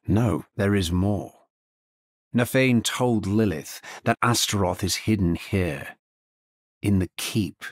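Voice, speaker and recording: An actor playing a grave, solemn necromancer hero in a fantasy video game, speaking in a measured, formal manner. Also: male voice, low voice, dry recording, clean studio sound. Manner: measured, somber, formal delivery. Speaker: video game player character. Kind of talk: scripted fantasy game voice lines.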